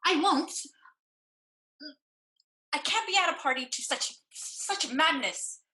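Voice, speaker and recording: A woman's voice: sits high, expressive, slow pace, pushed and bright, full character voice, rough recording. A woman playing an anxious, stubborn sister who protests earnestly and dramatically in a staged play reading. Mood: outraged refusal.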